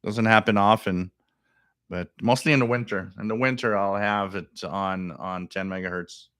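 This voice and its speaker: A man hosting a live radio stream, thinking aloud in casual commentary, repeating himself and breaking into excited bursts over strange signals. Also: lowish voice, normal speed, no room sound, clean studio sound.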